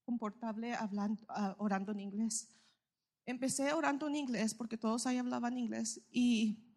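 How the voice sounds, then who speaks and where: A woman giving a heartfelt, halting church testimony, pausing often with fillers. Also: a little high, a little mellow.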